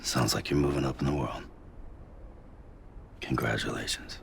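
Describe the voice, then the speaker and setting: A man playing a weary, withdrawn delivery porter in a cinematic video game, terse and downbeat, with a gravelly voice. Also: extremely low pitch, fairly even intonation, moderate pace, a little hiss or hum. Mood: resigned. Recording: music under the voice.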